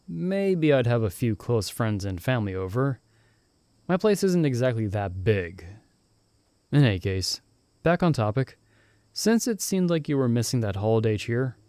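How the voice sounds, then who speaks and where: Casting: a man as upbeat young fantasy mage, loyal best-friend type, soft mellow tone, close dry sound, casual ASMR roleplay. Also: mid-range voice, clear, resonant tone, dry recording, close to an everyday voice. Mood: warm, relaxed. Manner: casual, chuckling, reassuring. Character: cheerful, caring young male friend, novice mage in fantasy world. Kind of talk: ASMR roleplay, intimate one-sided conversation.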